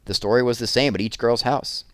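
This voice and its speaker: A man's voice: medium pitch, fairly even intonation, a little fast, a bit bright, clean tone, no echo, studio quality. A man reading a children's book aloud as a storyteller.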